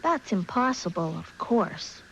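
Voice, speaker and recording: A woman's voice: on the low side, lively intonation, unhurried, a little hiss or hum, rough recording. A woman playing a cheerful young girl in a children's TV show, speaking in sing-song rhyming couplets. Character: cheerful young girl Muffy who talks in rhymes. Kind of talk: children's TV play.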